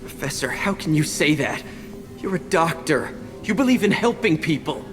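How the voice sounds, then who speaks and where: A naive young doctor in a video game. His voice sits fairly high and sounds earnest and polite, in a reverberant hall with music clearly behind it. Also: big pitch swings, normal speed. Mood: dismayed. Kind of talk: video game character dialogue.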